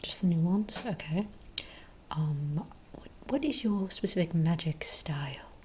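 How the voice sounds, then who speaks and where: A woman speaking in a soft, breathy near-whisper, playing a friendly magic shop owner in a gentle, rambling ASMR role play. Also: on the low side, animated, measured pace, a little hiss or hum.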